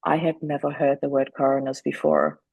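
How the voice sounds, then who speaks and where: A middle-aged woman speaks in a gravelly, fry-laden voice. Her delivery stays flat and level as she talks earnestly, restarting her sentences now and then. The sound is dry and close, with no room around it. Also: deep voice, brisk, no room sound, narrow, tinny audio. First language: German. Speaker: guest. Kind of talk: candid interview conversation.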